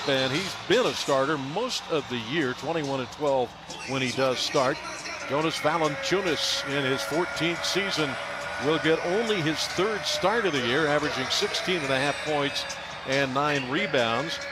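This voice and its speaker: A man's voice: a little high, background noise. A man with an American accent doing live pregame commentary as a radio play-by-play announcer.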